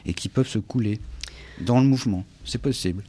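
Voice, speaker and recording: Low-voiced Frenchman, hesitant and reflective. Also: brisk, dark tone, dry recording. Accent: French from France. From France. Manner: hesitant, searching for words, repeating himself. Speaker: interview guest, English teacher and author. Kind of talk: reflective interview conversation.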